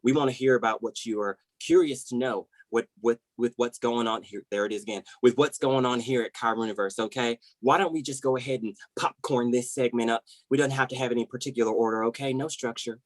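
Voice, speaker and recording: A man's voice: mid-range voice, steady tone, fairly quick, few pauses, no echo, natural voice. Gushing boss man, acted, dry close sound. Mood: earnest, rambling. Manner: effusive, rambling, repeats himself, stumbles over words. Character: gushing, rambling, over-eager folksy male team manager. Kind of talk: comedy web series acting.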